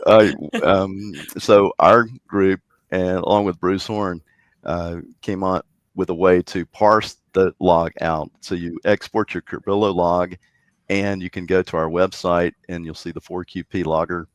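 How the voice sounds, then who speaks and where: A man with a very low voice chats as a podcast guest, stopping and restarting his sentences often with plenty of ums. The sound is dry and close, with no room around it. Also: level tone, no room sound. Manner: halting, many fillers and restarts. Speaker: guest, contest organizer. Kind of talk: casual podcast chat.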